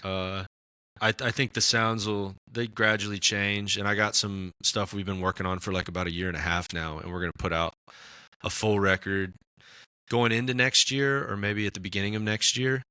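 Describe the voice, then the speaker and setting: A raspy-voiced man in casual podcast chat, talking about his music with lots of fillers and restarts. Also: on the low side, fairly even intonation, normal speed, bright, forceful tone, dry recording. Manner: rambling, many fillers and restarts. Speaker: musician guest.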